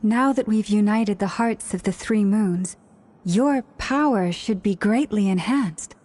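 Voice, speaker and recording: A calm, thoughtful woman, played by an actress, speaking slowly and deliberately in a mid-pitched voice in game dialogue. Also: natural voice.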